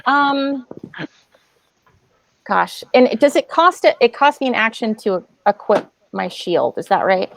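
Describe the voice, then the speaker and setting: A woman's voice: a little high, lively intonation, unhurried, gravelly. A woman playing an online tabletop game, talking casually and tentatively as she checks the rules. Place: online voice call.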